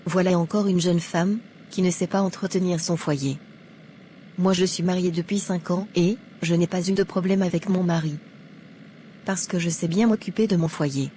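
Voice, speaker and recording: A woman playing a judgmental young churchgoer in an animated moral drama, chatty and smug. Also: on the low side, moderate pace, slight background noise, lightly acted. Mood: smug disapproval. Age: young adult.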